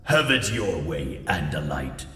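A man's voice: very deep voice, very animated, a little slow, bright, forceful tone, soft background music, voice pushed down, full character voice. A man voicing a cruel, patient alien warlord in an audiobook, with menacing, gloating delivery and an echoing telepathic effect. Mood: cold menace. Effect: echoing telepathic-voice reverb. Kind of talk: dramatised audiobook villain. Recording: distant, long echoing reverb.

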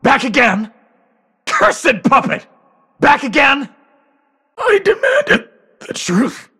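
An actor playing a menacing, vengeful masked hunter in a video game, with a raspy, taunting, threatening delivery. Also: male voice, very high voice, wildly swooping pitch, slow pace, sharp tone, heavily acted. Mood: furious. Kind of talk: dramatic video game voice acting.

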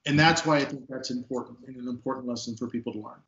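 A man in his fifties from Texas, a police sergeant, speaking as an interview guest in earnest, emphatic talk with a big booming voice, recorded on a video call from an office. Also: quick pace, fairly bright tone. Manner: emphatic, repeats words when stressing a point. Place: office at a desk. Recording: echoey, dull video call audio.

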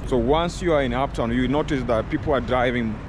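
A Kenyan man in his forties talks straight into a camera held at arm's length as he walks the streets. His tone is chatty and earnest, and at times he pleads with his viewers. Passing traffic is clearly audible behind his voice. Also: mid-range voice, fairly quick. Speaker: travel vlogger. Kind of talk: walking city tour vlog. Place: outdoors on city streets. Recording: outdoor street noise. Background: city traffic.

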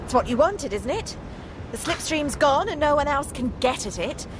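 An actress playing an earnest, compassionate young technician in a radio drama. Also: female voice, very animated, normal speed. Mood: quietly defiant. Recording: radio drama with loud vehicle rumble.

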